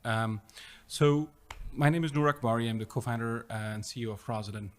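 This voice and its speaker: A man's voice: medium pitch, brisk. A middle-aged man from Afghanistan, a startup founder telling his story in an interview, with many fillers and restarts. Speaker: startup co-founder and CEO, guest. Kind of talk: conversational interview.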